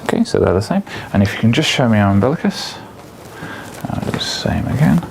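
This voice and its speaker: Male clinician, courteous and procedural, animated intonation with vocal fry, guiding an examination. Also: lowish voice, fairly quick, background noise. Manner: polite, procedural. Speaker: doctor examining a patient. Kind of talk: step-by-step clinical exam instructions.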